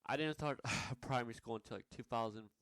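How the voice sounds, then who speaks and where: A man's voice: mid-range voice, brisk, fairly bright tone, clear, resonant tone, dry recording. A young man from Nepal telling his life story in a rambling vlog, with many fillers and restarts. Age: young adult. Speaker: vlogger.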